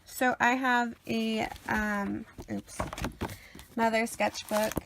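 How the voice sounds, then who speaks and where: Sniffly woman with vocal fry. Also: on the high side, little pitch movement, slow pace, background noise. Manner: rambling, many ums and uhs. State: sniffly after a cold. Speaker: artist showing her sketchbook. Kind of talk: casual sketchbook tour.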